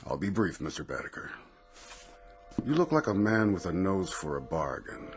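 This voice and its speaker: A deep male voice plays an urbane supernatural tempter in a radio drama. The delivery is richly animated, teasing and sly, with light music underneath. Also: moderate pace. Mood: smooth, persuasive. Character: suave, sly, jovial supernatural tempter offering bargains.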